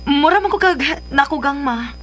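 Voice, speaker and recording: An actress playing a pampered young daughter, sweet but willful, in a radio drama, with a Filipino accent. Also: female voice, high voice, animated, moderate pace, soft background music. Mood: coaxing, willful. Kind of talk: Philippine radio drama acting.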